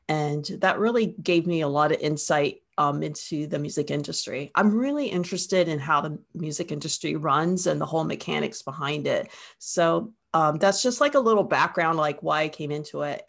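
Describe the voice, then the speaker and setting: A woman's voice: low-pitched, creaky. A middle-aged American woman from Northeast Ohio, a university teacher, chatting enthusiastically and rambling with fillers on a video call. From Northeast Ohio, USA. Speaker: guest, university media studies teacher. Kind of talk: casual chat on a call.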